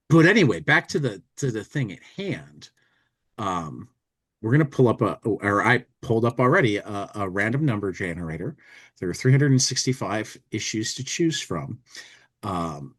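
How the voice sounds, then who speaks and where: A man hosting a podcast in casual chat, rambling and halting, often restarting his phrases. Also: on the low side, a bit bright. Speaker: podcast host.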